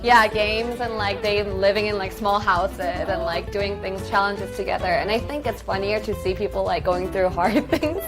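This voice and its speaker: A woman's voice: mid-range voice, normal speed, hardly any pauses. A woman from Korea speaking earnestly and thoughtfully on a casual panel. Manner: thoughtful, earnest, many "like" fillers. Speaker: panel guest. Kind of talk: casual group chat. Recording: music bed under the talk.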